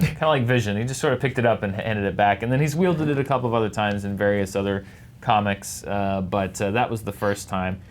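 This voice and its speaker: Articulate, wordy man judging a debate. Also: on the low side. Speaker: judge on a film debate panel show. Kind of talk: unscripted panel debate.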